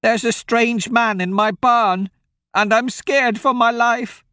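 A panicked old countrywoman, played by a man begs for help in a high, strained voice that climbs far above his own. Her words tumble out in breathless pleading, each phrase pushed out with urgency. The sound is close and dry. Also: fairly even intonation, takes it slowly, sharp tone, dry recording, exaggerated voice. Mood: frightened pleading. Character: frightened old farm woman, pleading.

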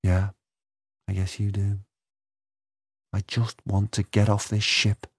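A man's voice: fairly low pitch, completely flat delivery, slow, slightly rough, dry recording, clean studio sound, heavily acted. A man voicing a forthright young woman, brittle and sad but brave, in an audiobook story.

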